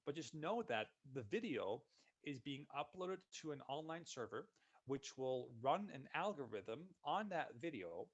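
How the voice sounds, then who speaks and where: A man, a solutions consultant giving a relaxed, conversational walkthrough of software in a live webinar. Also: fairly high pitch, measured pace, breathy edge, lo-fi sound. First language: Dutch. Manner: relaxed, conversational walkthrough narrating each click.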